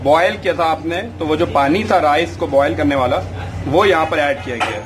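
A male cooking host with a somewhat high voice walks through a recipe in instructional steps. He drops English kitchen terms into his sentences. There is distinct ambient noise behind his speech. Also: moderate pace. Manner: mixes English kitchen words into Hindi.

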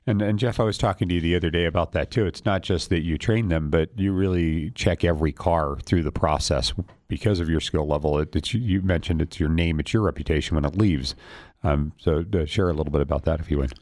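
A man's voice: sits low, brisk, a little mellow, no room sound, clean studio sound. A middle-aged man interviewing guests conversationally as the host of a radio talk show.

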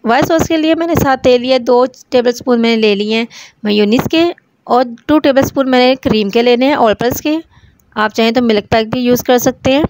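A woman with a Pakistani Urdu accent, hosting a home cooking channel, calmly explaining each recipe step in a voice-over. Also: on the high side, a little fast, no echo, rough recording. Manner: calm, repeats each step.

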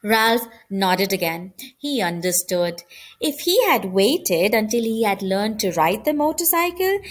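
A woman reading a children's novel aloud as an expressive storybook narrator. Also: mid-range voice, a little slow. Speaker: storybook reader.

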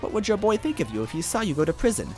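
A man voicing a fussy, anxious old female magic advisor, speaking in a hushed, soothing, fretful way over faint music. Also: sits high, sing-song, very fast, slightly rough, pitched above the performer's own voice, heavily acted.

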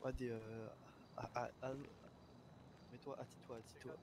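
Un homme qui joue un policier dans un jeu de rôle en ligne, au parler familier, hésitant et répétitif. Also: male voice, mid-range voice, flat delivery, moderate pace. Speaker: roleplayer playing a police officer. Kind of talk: casual improvised game roleplay. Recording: noisy game audio with sirens.

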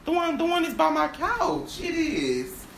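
A woman playing a high-pitched, halting, sassy divorced wife. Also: huge pitch swings, gravelly, slight background noise, full character voice. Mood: admiring, musing. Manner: stammering, repeats words, sighs and laughs often. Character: sassy, boastful, rambling divorced wife from a stage play.

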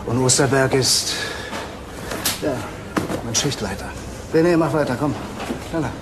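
Man playing a gruff freight boss over loud noise. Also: medium pitch, a little slow, close to an everyday voice. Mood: impatient, shouting. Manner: gruff, barking orders at workers. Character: brusque, impatient male freight company boss. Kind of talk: German crime radio play acting. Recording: loud background noise of work yard.

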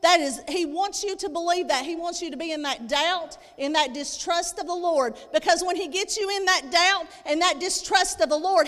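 A woman's voice: extremely high pitch, fairly bright tone. A woman in her thirties preaching a sermon, reading scripture and explaining it emphatically, repeating phrases for weight. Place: church stage indoors, at a lectern. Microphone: handheld microphone. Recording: echoey hall with long reverb.

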